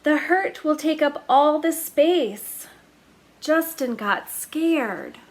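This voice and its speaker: A woman storyteller reading a children's picture book aloud in a slow, deliberate way. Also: high-pitched, lively intonation.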